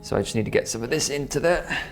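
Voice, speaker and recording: A man's voice: medium pitch, lively intonation, fast, faint music behind. A Welsh man chatting casually on camera while he works, enthusiastic and a little rambling. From Wales. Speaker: vlogger and shop owner.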